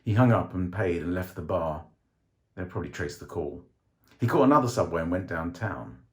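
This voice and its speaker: A man's voice: deep voice, a little mellow. A man reading a short story aloud as a dramatic scripted narration.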